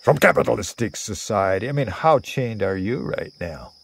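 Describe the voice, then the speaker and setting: A man in his sixties telling a rambling, improvised story in a theatrical, halting way, full of digressions and pauses. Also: lowish voice, lively intonation, creaky, slight background noise. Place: outdoors under cover among greenery. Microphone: phone at arm's length.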